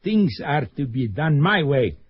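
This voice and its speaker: An older Russian father in a radio play, defiant and anguished. The voice is somewhat high and husky, on a dull lo-fi recording. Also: sing-song, unhurried, exaggerated voice. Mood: defiant, desperate. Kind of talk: radio drama acting.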